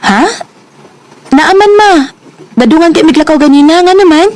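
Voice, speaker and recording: High-pitched dramatic young Bisaya wife. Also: female voice, expressive, normal speed, darkish tone. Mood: dutiful. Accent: Bisaya Filipino. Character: trusting young wife, troubled by suspicion and betrayal. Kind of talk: Filipino radio drama acting. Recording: noisy radio drama track, ambient sound.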